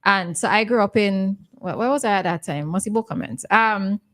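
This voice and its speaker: A woman's voice: mid-range voice, normal speed, fairly bright tone. A woman with a Vincentian accent co-hosting a casual morning radio chat, blunt and opinionated.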